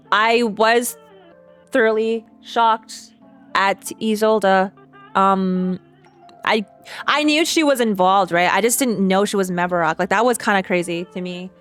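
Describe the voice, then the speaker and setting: A woman's voice: mid-range voice, fairly bright tone, smooth. A woman streamer giving casual reaction commentary, thinking aloud and repeating herself in a chatty way. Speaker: streamer reacting to a game story.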